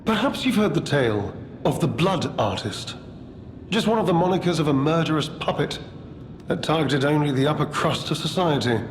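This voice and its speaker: A man playing a condescending, gossiping gentleman in a gothic video game, pompous and drawling with dramatic pauses. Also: a little high, animated, a little hiss or hum, lightly acted. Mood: storytelling. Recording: echoey hall reverb under music.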